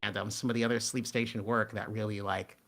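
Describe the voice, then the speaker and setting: A man hosting casual live stream commentary, talking in a halting, rambling way and often cutting himself off. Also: fairly low pitch, level tone, creaky, a bit bright. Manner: halting, rambling, self-interrupting. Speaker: host and show's writer.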